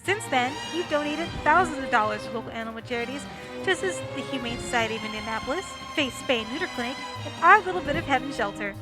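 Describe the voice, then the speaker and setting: Female announcer, high register, scripted read, music underneath. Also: animated, fast, poor audio.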